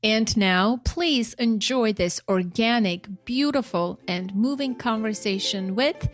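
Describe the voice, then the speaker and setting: A woman's voice: fairly high pitch, slow pace, faint music behind. A woman in her forties with an Armenian accent, hosting a podcast in an earnest, emphatic way. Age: 40s. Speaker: podcast host. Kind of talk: podcast introduction and interview talk.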